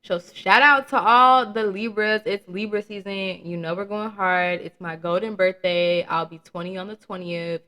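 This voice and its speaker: A woman's voice: medium pitch, moderate pace, hardly any pauses, pushed and bright. A young woman around twenty hosting her own podcast, talking candidly and casually with slang, swearing and laughing at her own jokes. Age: young adult. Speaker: podcast host. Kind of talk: casual solo podcast chat.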